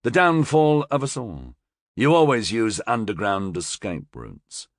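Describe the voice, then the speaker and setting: A man's voice: lowish voice, sing-song, measured pace, no echo, clean studio sound, voice pushed up. A man voicing a cold, guarded male police captain who speaks in a curt, clipped way.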